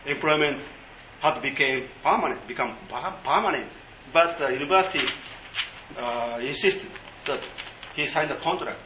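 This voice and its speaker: A man giving an informal seminar talk in English, halting and full of fillers. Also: mid-range voice, roomy sound, lo-fi sound, noisy background. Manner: halting, many fillers, non-native English.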